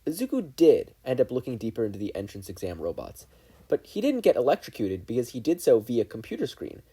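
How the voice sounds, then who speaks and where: Podfic narrator: mellow, slightly husky, very animated storytelling. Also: mid-range voice, fairly quick. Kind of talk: expressive scripted fiction narration.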